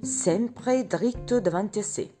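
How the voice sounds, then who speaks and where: A woman's voice: mid-range voice, slow pace, voice pushed up, natural voice. A woman voicing a chatty, proud young French manservant with eager, talkative bursts, over guitar music. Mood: confiding. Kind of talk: audiobook character voice. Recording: guitar music playing underneath.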